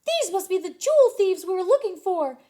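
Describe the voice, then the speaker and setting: A woman voicing an eager, cheerful male cartoon mouse playing detective in a children's storybook, excited and exclaiming. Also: very high-pitched, a little fast, voice pushed up.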